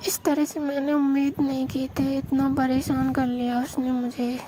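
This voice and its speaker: A young woman on a phone call, confiding and recounting a story in a hushed way. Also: sits high, completely flat delivery, normal speed. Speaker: caller. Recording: phone call recording with hiss.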